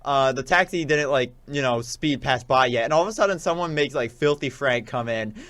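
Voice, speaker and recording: A man co-hosting a casual show, rambling and joking in an animated way. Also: mid-range voice, fairly quick. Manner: animated, rambling, often quotes memes in put-on voices. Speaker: radio show co-host.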